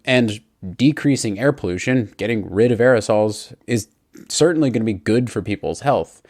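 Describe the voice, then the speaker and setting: A man's voice: lowish voice, expressive, normal speed, a little mellow. A man hosting a podcast, asking casual questions and narrating between the interview clips. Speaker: podcast host.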